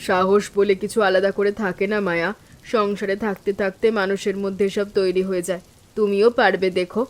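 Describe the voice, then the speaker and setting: A woman playing a calm, steadfast elder sister-in-law in an animated village story, speaking in a composed, firm and reassuring way. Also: mid-range voice, brisk, close to an everyday voice. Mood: reassuring, resolute.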